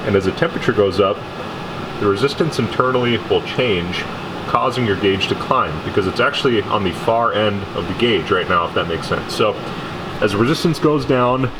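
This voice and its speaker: A man explaining hands-on car repair in a casual, conversational way, as a vlogger in his garage. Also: on the low side. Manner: informal, conversational. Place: indoor garage workshop. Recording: noisy garage with background hum.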